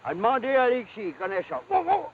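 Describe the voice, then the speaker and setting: A male actor playing an excitable, folksy country man in a 1950s television drama, with a Quebec French accent and exclamatory, colloquial speech. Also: very high voice, quick pace, phone-quality sound, exaggerated voice. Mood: excited. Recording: thin, very noisy old television soundtrack.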